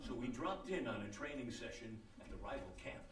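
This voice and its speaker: A man's voice: medium pitch, lively intonation, a little fast, heavy background noise, lightly acted. A man playing an earnest radio reporter narrating a scripted feature. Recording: echoey stage, distant mic, loud music.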